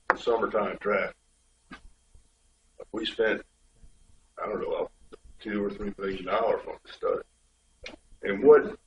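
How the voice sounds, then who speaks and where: A man's voice: on the low side, recorded from a distance. A man with a head cold lays out his points in a plain, step-by-step way at a community board meeting. He sounds set back from the microphone in an echoey meeting room. The pickup is dull, with a faint hum underneath. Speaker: state highway official. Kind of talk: explaining at a public board meeting.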